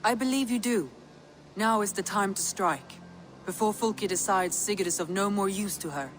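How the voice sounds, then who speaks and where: An actress playing a calm, cautious advisor to a warrior in a video game, speaking in a measured, thoughtful way over faint background noise. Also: female voice, mid-range voice, natural voice. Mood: calm, wary. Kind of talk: dramatic video game dialogue.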